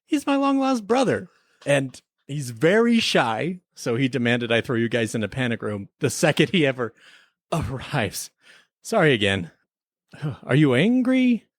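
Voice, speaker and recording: A man's voice: mid-range voice, very animated, unhurried, dry recording. Man casually hosting a podcast, dry close sound. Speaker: podcast host. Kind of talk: casual episode review chat mixed with playful time-travel skit.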